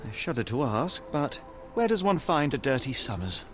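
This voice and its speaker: An actor playing a shrewd, self-assured British gentleman detective in a video game, speaking formally and probingly. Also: mid-range voice, big pitch swings, normal speed. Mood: probing, cool. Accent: British English. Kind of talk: video game cutscene acting. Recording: music behind the voice.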